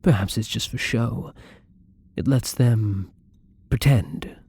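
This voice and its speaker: A man acts out a tender male vampire in an intimate ASMR roleplay. His voice is very husky and breathy, sitting fairly low with a mellow, dark tone. He speaks softly and caringly, as if to someone close by. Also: slow, heavily acted. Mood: wistful, reflective. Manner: soft-spoken, tender, breathy.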